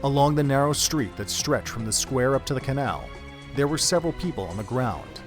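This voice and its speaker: Man narrating a dark story. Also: mid-range voice, music in the background. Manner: steady, measured storytelling. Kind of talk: scripted audio drama narration.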